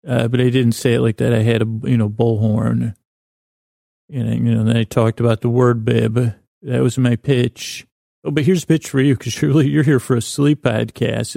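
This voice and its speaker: A man hosting a sleep podcast with a meandering, stammering comic monologue, halting and deadpan, in a gravelly voice. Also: medium pitch, no echo, studio quality. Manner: meandering, halting, stammering with many false starts. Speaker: podcast host.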